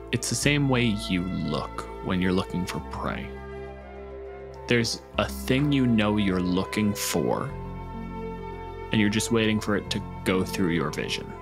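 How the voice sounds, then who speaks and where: Low-voiced man running a tabletop game. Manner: profane, conversational. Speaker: game master. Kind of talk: casual tabletop game narration.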